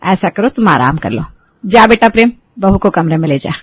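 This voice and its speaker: A woman voicing a soft-spoken middle-aged mother-in-law who coaxes and gets flustered. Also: medium pitch, lively intonation, moderate pace, slightly rough. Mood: kindly. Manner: coaxing, flustered.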